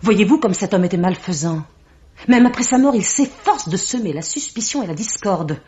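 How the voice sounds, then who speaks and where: An actress playing a haughty mystical bookseller in a French radio mystery, solemn, theatrical and full of contempt. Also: female voice, mid-range voice, lively intonation, normal speed, dark tone, lightly acted. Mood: haughty, defensive. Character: haughty mystical bookseller woman, accusing and ominous. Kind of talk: French 1950s-style radio mystery acting. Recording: dull vintage radio-drama recording.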